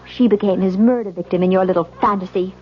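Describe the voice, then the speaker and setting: A woman's voice: medium pitch, fairly even intonation, quick pace, close to an everyday voice. A woman playing a distraught, suspicious young newlywed wife in a 1970s radio drama, with intense, theatrical delivery. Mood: cold, bitter. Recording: muffled vintage radio recording.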